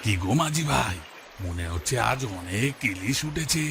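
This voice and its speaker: পুরুষের অভিনয়ে মাঝারি গলার আলাপী মাছের ক্রেতা. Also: male voice, mid-range voice, animated, moderate pace, gravelly, noisy background. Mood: friendly, keen. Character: friendly fish buyer bound for town. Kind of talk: Bengali moral story cartoon dubbing.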